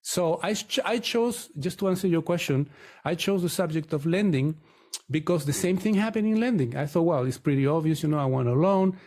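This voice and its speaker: A man's voice: fairly high pitch, fairly quick, darkish tone. A middle-aged man from Chile, a Spanish speaker, telling his story conversationally as an interview guest. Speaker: podcast guest, mortgage broker.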